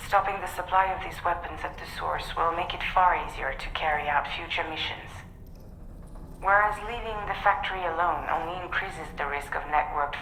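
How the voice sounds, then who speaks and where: Composed game briefing officer, low female voice, purposeful, businesslike, echoey room, faint rumble. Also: sharp tone. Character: composed, businesslike woman giving mission orders. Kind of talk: video game mission briefing. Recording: roomy echo, faint rumble.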